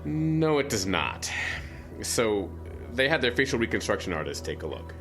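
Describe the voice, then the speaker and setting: An actor playing a weary, reluctant small-town lawman in an audio drama, speaking in a measured, hesitant, plain-spoken way. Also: medium pitch, very animated, a bit bright, soft background music. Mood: grim, explaining.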